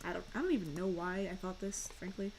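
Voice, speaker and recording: A young woman with a fairly deep voice, chatting casually and rambling while she streams a game and answers questions from chat. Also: fast talker, darkish tone, slight background noise.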